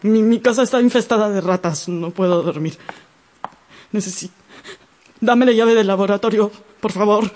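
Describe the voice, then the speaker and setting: A woman's voice: medium pitch, moderate pace, pauses often, a little mellow, slight background noise, lightly acted. An actress playing a proud, desperate married woman in a radio drama, with a theatrical, impassioned, pleading delivery. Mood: frantic urging. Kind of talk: Spanish-language radio drama acting.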